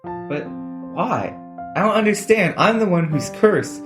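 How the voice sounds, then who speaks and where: A man's voice: sits high, lively intonation, a little slow. A man playing a frustrated, defensive young boy under a curse, protesting plainly in a fantasy audio drama. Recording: faint music behind the voice.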